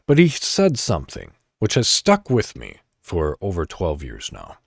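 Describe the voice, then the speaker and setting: A man hosting a podcast, speaking in a reflective solo monologue straight to the listener with deliberate, emphatic phrasing. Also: low-pitched, wildly swooping pitch, vocal fry, breathy edge, no room sound, clean studio sound. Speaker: podcast host.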